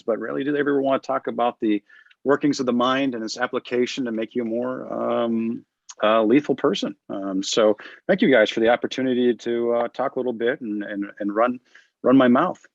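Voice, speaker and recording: A man's voice: fairly low pitch, no room sound, muffled recording. A man from the United States talking as a podcast guest, reflective and repeating words as he thinks. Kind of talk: casual conversation.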